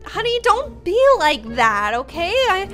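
A woman in her twenties hosting a gaming video, narrating a roleplay in an excitable, exaggerated way on a close mic. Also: very high-pitched, measured pace, soft background music. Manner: expressive, exaggerated. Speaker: gaming YouTuber, host. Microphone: close studio mic on boom arm.

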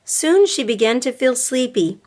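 Slow, animated woman reading a storybook. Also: a little high. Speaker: storybook reader for children. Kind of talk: read-aloud narration of a picture book.

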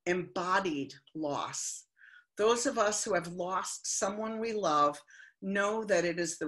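Slow reflective older woman preaching. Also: mid-range voice, a bit bright. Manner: slow, reflective, heartfelt delivery. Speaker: minister. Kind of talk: sermon. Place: home living room. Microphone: webcam on video call.

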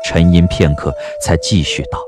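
A man narrating an audio drama in an expressive storytelling manner. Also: deep voice, moderate pace, over music.

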